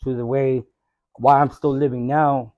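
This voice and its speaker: A man's voice: medium pitch, level tone, measured pace, dry recording, highs cut off. A man on a podcast, speaking earnestly and often saying "you know what I mean". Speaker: podcast guest. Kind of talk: casual chat.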